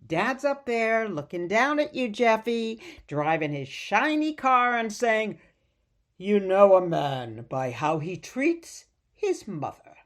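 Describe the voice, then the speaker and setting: A woman playing a sweet, gently stubborn elderly mother in a radio play, coaxing and chiding softly. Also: medium pitch, very animated, slow pace.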